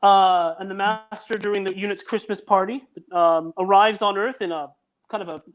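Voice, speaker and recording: A man's voice: high-pitched, steady tone. Man musing through his own story idea. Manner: measured, thinks aloud while explaining. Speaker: audio drama writer as guest. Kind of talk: casual video chat.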